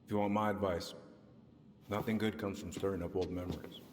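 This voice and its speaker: An actor playing a middle-aged small-town police chief and uncle in a video game, folksy, measured and paternal. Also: male voice, deep voice, level tone, long reverb tail, a little hiss or hum, lightly acted. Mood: guarded, paternal. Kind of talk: naturalistic video game acting.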